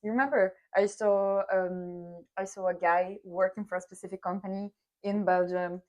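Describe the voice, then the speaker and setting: A woman hosts a business podcast with an upbeat, encouraging manner. Her voice sits in a middle range, and she keeps the talk moving with quick affirmations. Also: medium pitch, steady tone, darkish tone, dull audio. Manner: upbeat, affirming, frequent "mm-hmm" and "nice". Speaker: podcast host and customer success manager. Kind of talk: conversational business podcast interview.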